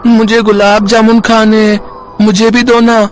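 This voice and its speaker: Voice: mid-range voice, flat delivery, a little slow, soft background music, close to an everyday voice. A performer voicing a childish village boy in a storybook tale, with simple childlike delivery. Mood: pleading.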